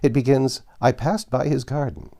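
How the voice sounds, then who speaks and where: A man's voice: medium pitch, steady tone, normal speed, gravelly, warm tone, no room sound, clean studio sound, voice pushed up, natural voice. A man voicing a brusque, impatient gryphon in a storybook reading, with curt, commanding delivery. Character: brusque, impatient gryphon, bossy old creature. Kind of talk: storybook character voice.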